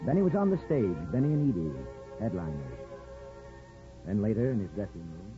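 Gruff, stubborn middle-aged barber dad, low folksy grumble, old radio drama, muffled with music. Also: male voice, wildly swooping pitch, fairly quick. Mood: wistful narrating. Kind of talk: 1950s radio drama acting and narration.

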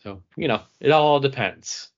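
A man's voice: mid-range voice, animated, unhurried. A man hosting a podcast in casual chat, teasing and repeating himself for emphasis. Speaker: podcast host. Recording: dull, lo-fi sound.

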